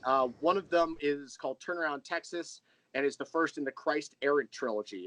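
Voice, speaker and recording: A man's voice: fairly high pitch, pushed and bright, rough recording. An American man chatting casually as an interview guest, candid and self-deprecating in a rambling way. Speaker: interview guest, audio drama creator.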